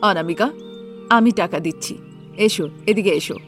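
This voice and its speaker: A woman voicing a calm, reproving school headmistress in a cartoon story, firm and composed. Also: mid-range voice, moderate pace, pitched below the performer's own voice, natural voice. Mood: composed reproof. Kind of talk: cartoon story character acting. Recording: music behind the voice.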